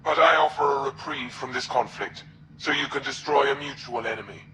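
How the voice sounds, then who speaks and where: A man playing a cold, scheming alien military zealot in a video game, speaking formally and deliberately with menace. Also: medium pitch, background noise, close to an everyday voice. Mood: haughty. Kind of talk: dramatic video game acting. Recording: echoing, with music behind.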